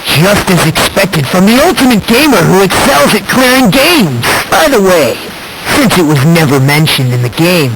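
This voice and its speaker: A man's voice: sits high, big pitch swings, moderate pace, vocal fry, exaggerated voice. A man voicing a polite, musing teenage boy in an anime video game, unsettling and fervently hopeful, on noisy game audio. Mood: analytical.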